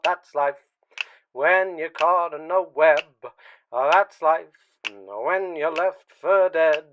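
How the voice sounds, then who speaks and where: A man delivering slow, song-like theatrical lines in a radio drama. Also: fairly high pitch, a bit bright. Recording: dull lo-fi sound, faint noise.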